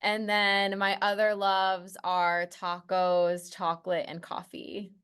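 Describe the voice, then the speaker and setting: A woman advisor introduces herself in a relaxed, matter-of-fact way. Her voice is smooth and resonant at a middle pitch, with the odd um between phrases. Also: slow pace. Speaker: student success advisor. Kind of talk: casual self-introduction in an info session.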